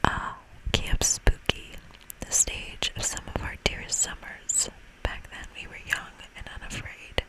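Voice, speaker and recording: This is an ASMR host playing through a video game, speaking entirely in a whisper. The delivery is very slow and soft, with an unhurried, chatty feel. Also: rough recording.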